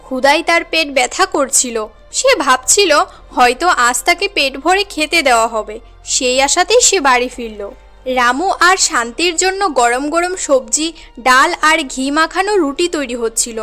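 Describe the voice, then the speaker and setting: Casting: female cartoon story narrator, very high voice, dramatic scripted delivery. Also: animated, normal speed, pushed and bright, faint music behind.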